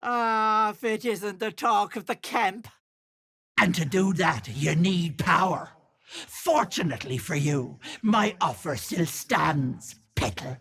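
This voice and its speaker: An actress playing a gleefully malicious old hag in a video game, raspy and theatrical, with mock-sweet endearments and taunting menace. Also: female voice, lowish voice, lively intonation, slow, a bit bright, heavily acted. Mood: folksy, chatty, then coaxing, sly. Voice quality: husky, raspy cackling old voice.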